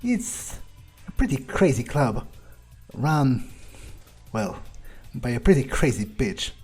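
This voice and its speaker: Slow, musing man role-playing as a vampire. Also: mid-range voice, big pitch swings, darkish tone, husky, soft background music. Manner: musing, hesitant, casual, sweary. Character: laid-back, wry male vampire thinking aloud. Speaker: gamer role-playing his character. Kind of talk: in-character game playthrough commentary.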